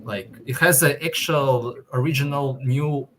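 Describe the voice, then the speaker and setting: A young man from Kazakhstan chatting as a guest on a call, in halting English full of fillers. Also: mid-range voice, a bit bright, a little hiss or hum. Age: young adult. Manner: halting, filler-heavy non-native English. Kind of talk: casual video call chat.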